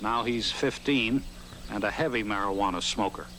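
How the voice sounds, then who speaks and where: A man's voice: fairly low pitch, normal speed, bright, forceful tone, a little hiss or hum, rough recording. A man reading scripted narration for a 1970s documentary, on an old film soundtrack. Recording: old film soundtrack, people talking over it.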